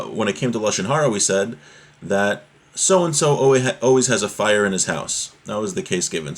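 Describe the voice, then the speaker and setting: A man giving an informal religious lesson, reading from a source text and explaining it conversationally with everyday examples. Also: on the low side. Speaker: religious teacher giving a class.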